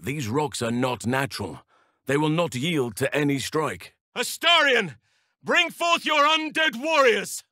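An actor voicing a wise, gentle and grave archdruid in a fantasy video game, with measured, formal and thoughtful phrasing. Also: male voice, on the high side, sing-song, no echo, studio quality, heavily acted. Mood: calm, reflective, then forceful shouting.

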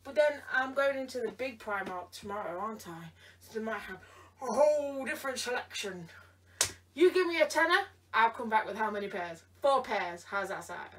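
Tired woman chatting playfully on a try-on vlog, kinda high voice, mic a bit far off. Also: lively intonation, moderate pace, fairly bright tone, slight background noise. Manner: playful, talks to someone off camera, repeats herself teasingly. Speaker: vlogger. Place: bedroom indoors. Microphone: camera across the room. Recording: voice from a distance.

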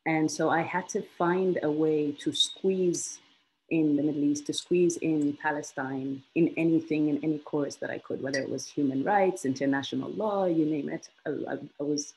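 A woman in her thirties from Egypt, a guest on an online interview, telling her story in a lively way with frequent laughs and repeated phrases for emphasis. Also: deep voice, moderate pace, slight background noise, low-quality recording. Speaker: guest, institute alumna. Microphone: video call.

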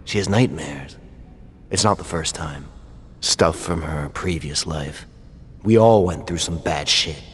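Casting: troubled ex-thrall for a video game, low register, reverberant recording with faint noise. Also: male voice, a little slow. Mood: troubled, confiding. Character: troubled, weary male former thrall, remorseful and confiding.

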